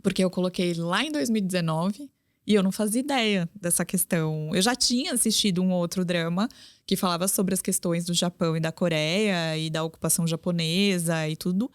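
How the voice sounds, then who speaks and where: A woman's voice: lowish voice, no room sound, clean studio sound. A woman, a guest on an interview, speaking chattily and often tagging her sentences with little questions. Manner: chatty, with frequent tag questions. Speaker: guest, drama YouTuber. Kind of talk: casual conversational interview.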